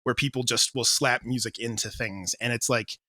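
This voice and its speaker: A man's voice: mid-range voice, steady tone, normal speed, pushed and bright, no echo, studio quality. A man speaking casually as an interview guest, explaining his creative work and voicing his own thoughts as quotes. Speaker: composer and audio drama creator interviewed as a guest.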